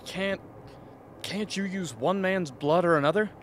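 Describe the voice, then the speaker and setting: An actor playing an agitated, blunt expedition member in a radio play, speaking in halting bursts. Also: male voice, sits high, a little hiss or hum. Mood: anxious.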